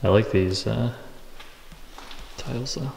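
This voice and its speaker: A man exploring an abandoned school with friends, talking quietly to his viewers in casual chat and often marveling at what he finds. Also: lowish voice, slow pace, gravelly, very noisy. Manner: hushed, easily impressed, talks to viewers. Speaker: urban explorer filming. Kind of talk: casual walk-through chat. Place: dark abandoned school building, indoors.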